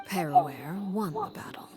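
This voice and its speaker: A woman playing a cold, commanding family head in a video game, speaking in a measured, formal and composed way with quiet menace. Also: low-pitched, wildly swooping pitch, over loud music, full character voice. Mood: solemn. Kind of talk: dramatic video game dialogue.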